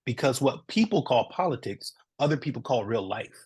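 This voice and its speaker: A man's voice: medium pitch, big pitch swings, moderate pace, a little mellow, breathy edge. A man from Southern California, a comic creator speaking earnestly in a casual podcast interview, often checking in with "right?".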